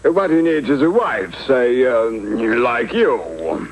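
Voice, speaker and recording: A man voicing a nagging, bumbling old king in a vintage comic cartoon, blustery and gravelly. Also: medium pitch, sing-song, slow, a loud crowd. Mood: persuasive. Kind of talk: 1960s comic cartoon voice acting.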